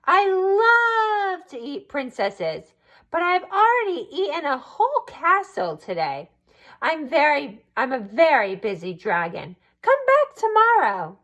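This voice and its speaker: A woman voicing a boastful, busy male dragon in a drawn-out, self-important manner for a storybook. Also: high voice, huge pitch swings, a bit bright, muffled recording, pitched above the performer's own voice, full character voice. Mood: self-important, dismissive.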